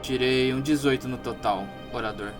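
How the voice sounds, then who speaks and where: A Brazilian man playing a tabletop role-playing game, speaking in a measured, matter-of-fact way, partly in character. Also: medium pitch. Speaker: tabletop RPG player. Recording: loud music covering the voice.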